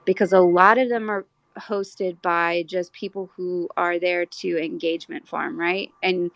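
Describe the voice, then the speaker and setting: A woman's voice: on the low side, steady tone, unhurried, creaky, no room sound. A woman talking casually and reflectively in an online group discussion, earnestly thinking aloud and hedging as she goes. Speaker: speaker in an online audio community discussion.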